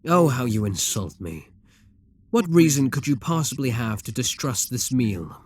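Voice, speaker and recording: A man's voice: mid-range voice, very animated, unhurried. A man playing a proud, seductive demon king in an ASMR roleplay, speaking in an imperious, courtly and theatrical manner. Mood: indignant, haughty.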